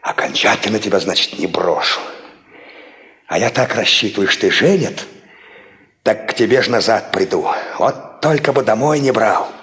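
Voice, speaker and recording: An actor playing an evasive, cocky young peasant farmhand in a 1950s radio drama, cajoling in folksy speech. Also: male voice, fairly low pitch, animated, moderate pace, fairly bright tone. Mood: coaxing. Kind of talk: 1950s Soviet radio play acting.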